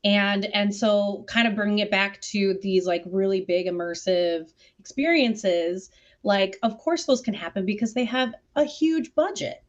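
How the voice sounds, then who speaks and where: A woman with a mid-pitched voice talks casually in a panel discussion. She is emphatic and informal, peppering her sentences with "like". The audio is a little dull and lo-fi. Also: unhurried. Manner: informal, heavy use of "like", emphatic. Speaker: theatre artist on a discussion panel.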